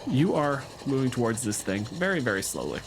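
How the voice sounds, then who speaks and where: A man's voice: mid-range voice, sing-song, fairly quick. Male game master over background noise. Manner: descriptive, casual, swears freely. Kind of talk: narrating an unscripted tabletop game.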